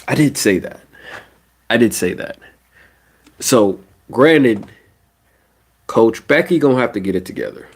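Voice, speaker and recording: A man's voice: mid-range voice, measured pace, long pauses, breathy edge. A young man with a stuffy, nasal voice from a cold, ranting casually about basketball on a live stream. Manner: animated ranting, casual slang. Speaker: sports streamer.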